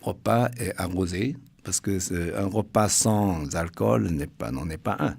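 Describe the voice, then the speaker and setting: A man from China, speaking French with a Chinese accent, a guest author in a radio interview who talks haltingly with many restarts. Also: low voice, vocal fry, a little mellow, slightly rough.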